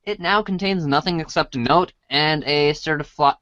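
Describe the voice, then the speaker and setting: A man's voice: a little high, moderate pace, bright, forceful tone, no echo. A man narrating a comic as a read-along storybook, informal and stumbling over words as he reads. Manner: informal, stumbles while reading. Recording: dry, dull band-limited audio.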